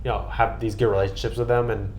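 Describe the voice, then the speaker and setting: A man's voice: lowish voice, flat delivery, quick pace, slight background noise. An American man chatting casually and earnestly on a podcast, with an enthusiastic manner. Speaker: podcast guest and co-host recapping a trip.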